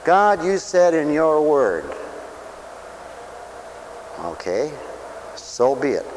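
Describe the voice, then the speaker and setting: A man preaching a sermon for radio, who swings from loud, stretched-out lines to quiet asides and acts out other people's voices. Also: on the high side, sing-song, drawn-out words, slight background noise, poor audio. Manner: dramatic swings from shouting to near whisper, acts out voices.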